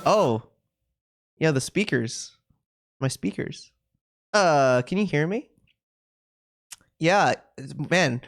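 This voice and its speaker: A man's voice: a little high, animated, takes it slowly, long pauses. A man live-streaming a visual novel, reacting with casual, wry asides. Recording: music playing behind the voice. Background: game music.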